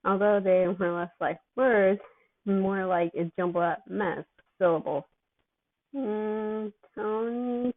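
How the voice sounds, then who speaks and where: Phone-quality audio, woman reading a story out loud kinda slow, giggly. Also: on the low side, fairly even intonation. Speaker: amateur fan fiction reader. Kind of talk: scripted story narration.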